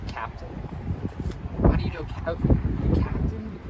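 A man's voice: on the high side, sing-song, takes it slowly, distant mic, lo-fi sound. A man playing an exasperated kidnapped everyman, agitated and complaining, repeating himself. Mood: exasperated, demanding. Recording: loud wind and engine noise, off-mic.

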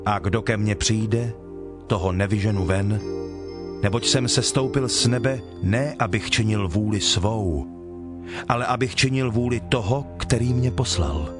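A solemn male teacher of authority, played by an actor in a scripture drama. His voice sits on the low side and his delivery is measured and declarative, with clear music underneath. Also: close to an everyday voice. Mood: solemn, assured. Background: background music.

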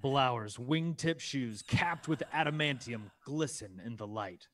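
A man's voice: mid-range voice, animated, takes it slowly, a bit bright. A man narrating a scripted action comedy, emphatic and dramatic with a tongue-in-cheek edge. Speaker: narrator reading stage directions.

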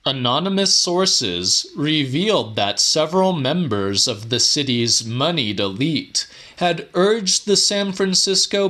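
A man reading a horror audiobook in a measured, theatrical way. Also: fairly high pitch, pushed and bright. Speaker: audiobook narrator. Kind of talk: dramatic horror audiobook narration.

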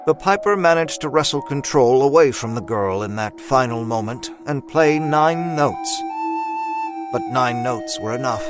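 A man's voice: medium pitch, animated, a little slow, music in the background. A man reading scripted dramatic narration for an audio story.

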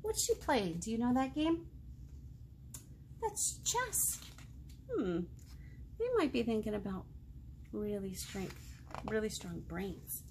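A woman in her thirties hosts a children's storytime with a high voice and an unhurried pace. She reads expressively and pauses to put questions to her young listeners. There is clear room noise behind her. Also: big pitch swings, darkish tone. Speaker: children's librarian, storytime host. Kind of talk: reading a picture book aloud to young children, with asides. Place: indoor room, seated.

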